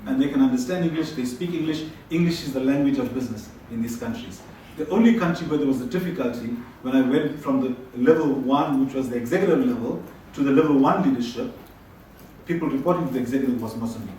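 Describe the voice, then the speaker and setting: A man's voice: mid-range voice, fast, warm tone. A man from South Africa gives a talk at a conference, chatting his way through it and often restarting his sentences. He is picked up from far off in a very echoey room, with a faint hiss underneath. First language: Tamil. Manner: conversational, frequent restarts and repeated words. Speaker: conference presenter. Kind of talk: presentation with audience questions.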